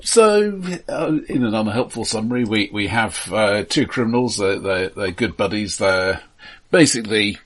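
A man co-hosting a casual film podcast with dry, ironic humour, often halting and repeating words as he goes. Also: on the low side, animated, fairly bright tone. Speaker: podcast co-host.

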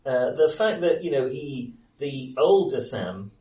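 A man's voice: mid-range voice, expressive, measured pace, dark tone. Man in a roomy echo, off-mic. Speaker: podcast co-host. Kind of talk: casual film discussion. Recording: roomy echo, far from microphone.

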